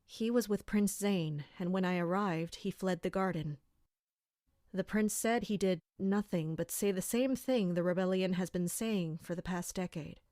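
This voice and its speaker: Serious measured guard captain played by a woman, fantasy audio drama, really dry recording. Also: on the low side, a little mellow, no echo, natural voice. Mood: grave. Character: dutiful female captain of an elite armored guard. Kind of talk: audio drama acting with first-person narration.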